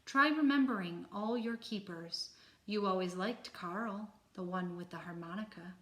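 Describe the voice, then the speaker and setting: A woman voicing a gentle, wise, caring female elephant in a children's storybook, with an encouraging manner. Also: medium pitch, unhurried, lightly acted. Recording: roomy, echoing room sound.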